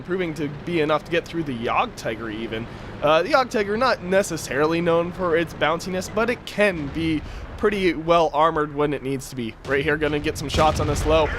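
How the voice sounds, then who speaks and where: Raspy-voiced young man commentating gameplay. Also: a little high, background noise. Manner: casual and chatty, with frequent fillers. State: raspy, just woke up. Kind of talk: game replay commentary.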